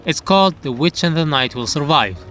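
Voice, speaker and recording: A man's voice: a little high, animated, fairly quick, fairly bright tone. A man giving a scripted storytelling narration for a manga review channel.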